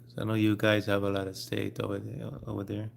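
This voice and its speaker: A man with a Jamaican accent hosting a casual live stream, speaking haltingly and repeating his phrases. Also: lowish voice, monotone, gravelly, a little hiss or hum. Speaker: livestream host.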